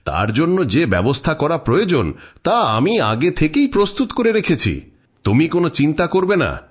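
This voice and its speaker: A man playing a bold, protective village exorcist father in a horror cartoon, theatrical, emphatic and husky. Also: fairly high pitch, wildly swooping pitch, normal speed, full character voice. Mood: reassuring, protective. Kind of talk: dramatised horror cartoon dialogue.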